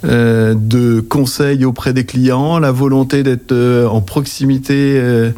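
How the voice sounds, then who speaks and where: French guy in his 40s, soft mellow tone, really hesitant, explaining stuff in an interview. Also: mid-range voice, fairly even intonation. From Anjou, western France. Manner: very halting, hesitant delivery. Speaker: accounting agency director, interview guest. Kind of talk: informative interview answers. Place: interview on site in an office.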